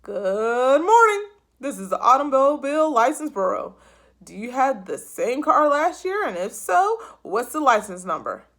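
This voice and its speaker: A woman voicing a brisk official license clerk who speaks formally and deliberately. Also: sits high, very animated, fairly bright tone, pitched above the performer's own voice, heavily acted.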